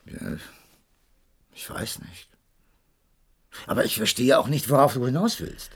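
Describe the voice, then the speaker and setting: A man in a radio play acting a detective's loyal gentleman companion, narrating and speaking in a composed storytelling manner. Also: mid-range voice, animated, unhurried, slightly rough, heavily acted. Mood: puzzled, loyal.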